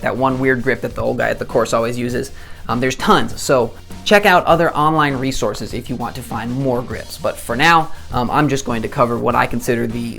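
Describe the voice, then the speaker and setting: A hoarse man in his twenties gives a chatty, self-deprecating tutorial, often restarting his sentences. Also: mid-range voice, fairly bright tone. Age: 20s. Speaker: disc golf instructor. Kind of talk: casual tutorial to camera.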